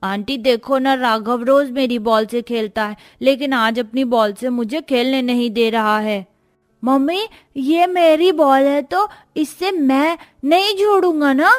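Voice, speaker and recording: A woman's voice: sits high, a little slow, no echo, clean studio sound, faint music behind. A woman playing a whiny, insistent small child in a cartoon story. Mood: squabbling, insistent.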